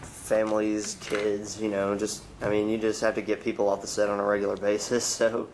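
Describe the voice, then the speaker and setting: A man playing a reluctant, hesitant young former film actor in a naturalistic found-footage interview, halting and noncommittal. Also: lowish voice, completely flat delivery, a little mellow, slight background noise, close to an everyday voice, poor audio. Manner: halting, noncommittal, mumbly fillers.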